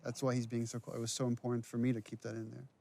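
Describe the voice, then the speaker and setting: A man's voice: medium pitch, monotone, rapid-fire, creaky, mellow. A man giving casual, explanatory commentary as a game's writer and director. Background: game cut-scene sound.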